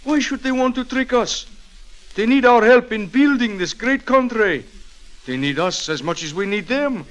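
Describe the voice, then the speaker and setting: An actor playing an earnest, idealistic Californio peacemaker, persuading in a declamatory 1940s radio style. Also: male voice, very high-pitched, lively intonation, normal speed, fairly bright tone. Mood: earnest, persuasive. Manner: impassioned, declamatory. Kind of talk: 1940s radio drama acting.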